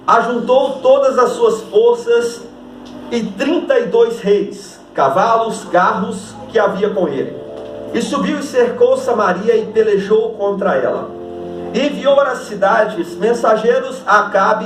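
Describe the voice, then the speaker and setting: A man preaching to his church congregation as pastor, joking and thanking members before reading scripture with emphasis. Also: sits high. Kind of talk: sermon. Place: church hall. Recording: echoey church hall from a distance. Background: congregation ambience.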